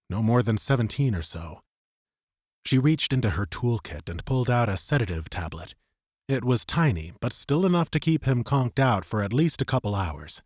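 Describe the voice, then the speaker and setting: Male fiction narrator: deep-leaning, dry close recording, measured suspenseful storytelling for an audiobook. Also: a little mellow, no echo.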